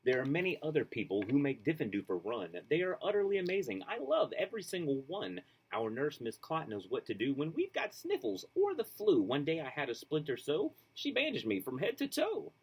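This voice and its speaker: Guy doing a kids book read-aloud, really animated, bit husky, bouncy rhyme rhythm, casual asides. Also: a little high, a little fast, few pauses, low-quality recording. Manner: expressive, rhythmic rhyme reading. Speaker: storybook reader.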